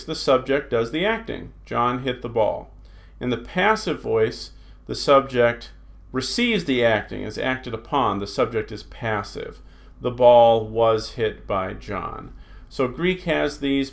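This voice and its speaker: A man teaching a recorded New Testament Greek lesson, talking through slides in an unhurried way with many fillers. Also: mid-range voice, gravelly.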